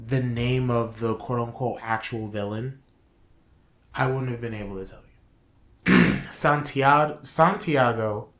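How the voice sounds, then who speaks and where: A man co-hosting a casual review discussion, thinking aloud in a halting way. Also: mid-range voice. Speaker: show co-host. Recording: roomy, echoing sound.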